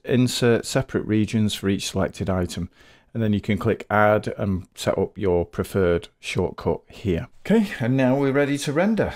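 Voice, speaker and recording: A man explaining a software workflow step by step in a methodical, unscripted tutorial manner. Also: fairly low pitch. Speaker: tutorial host, game developer.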